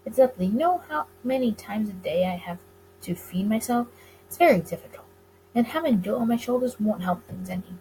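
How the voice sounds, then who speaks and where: A woman's voice: mid-range voice, lively intonation, a little fast, dark tone, slight background noise, natural voice, low-quality recording. A woman voicing a weary, skeptical but affectionate male detective boss in a visual novel, dry and earnest. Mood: dry, joking.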